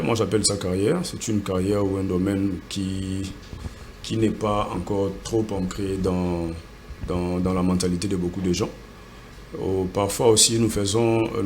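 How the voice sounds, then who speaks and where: A man from Congo with a fairly low voice speaks as a guest entrepreneur in a TV studio interview. He talks earnestly and often repeats words while he searches for the next one. A faint ambient hum sits behind him.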